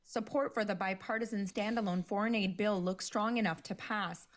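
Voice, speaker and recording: A woman newscaster reading a scripted news bulletin in an even, measured way. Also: mid-range voice.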